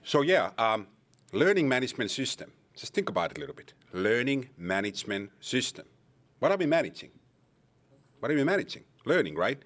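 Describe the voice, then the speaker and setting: A man's voice: mid-range voice, sing-song, brisk, fairly bright tone. A man from Finland with a Finnish accent, addressing a conference audience with wry humor and questions. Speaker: conference presenter. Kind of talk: conference panel talk.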